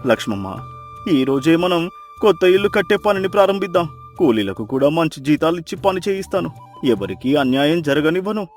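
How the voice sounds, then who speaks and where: A man's voice: fairly high pitch, lively intonation, fairly quick, a little mellow, faint music behind, close to an everyday voice. A man playing a humbled, emotional former miser husband in a moral story, with earnest, dramatic delivery. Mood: grateful, resolved. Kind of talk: Telugu moral story character acting.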